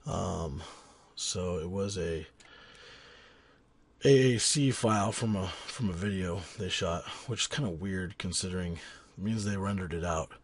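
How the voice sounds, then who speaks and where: A man teaching audio cleanup in a casual tutorial, rambling with frequent ums and restarts, his voice raspy from a cold. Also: low voice, unhurried. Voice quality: husky. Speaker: sound engineer teaching a tutorial. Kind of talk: casual screen-recorded software tutorial.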